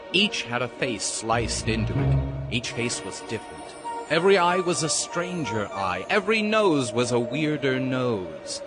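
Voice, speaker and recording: Suspenseful male narrator over music. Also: mid-range voice. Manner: deliberate, suspenseful. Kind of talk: dramatic scripted storytelling narration. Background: prominent music.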